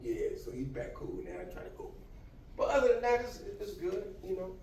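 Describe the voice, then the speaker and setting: Lively man in his fifties, echoey room. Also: high-pitched, moderate pace, far from the mic. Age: 50s. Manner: animated, laughs often, jokes with the audience. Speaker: motivational speaker. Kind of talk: casual talk to a class. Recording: echoey room, from afar, faint noise.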